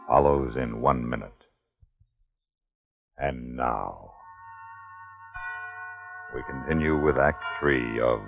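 A man announcing a 1950s radio drama in a slow, portentous style. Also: extremely low pitch, vocal fry. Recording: tinny old radio recording over music.

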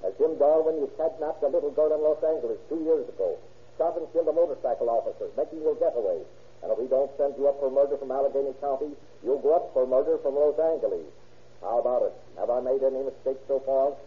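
An actor playing a stern, relentless police captain interrogating a suspect in a 1930s radio drama style, accusing and deliberate. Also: male voice, mid-range voice, lightly acted. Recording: muffled vintage 1930s radio recording.

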